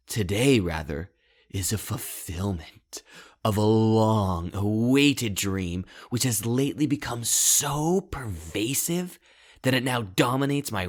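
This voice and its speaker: A man voicing an obsessive, self-absorbed young outcast in a storybook monologue, deliberate and theatrical, with intense, hushed turns. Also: on the low side. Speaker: author reading own short story. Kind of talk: first-person dramatic monologue, scripted narration.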